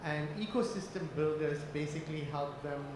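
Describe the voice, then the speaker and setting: A middle-aged man with a Malaysian accent asking a question from the floor in deliberate, broken phrases. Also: a little high. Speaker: audience questioner, startup organisation head. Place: conference hall. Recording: very echoey, noisy conference hall.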